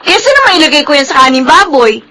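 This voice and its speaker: A sassy young woman in a radio drama, with a high voice that swings widely. She is blunt and mocking, quick to scorn and tease. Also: big pitch swings, bright, forceful tone, full character voice. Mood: mocking, playful. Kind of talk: Filipino radio drama acting.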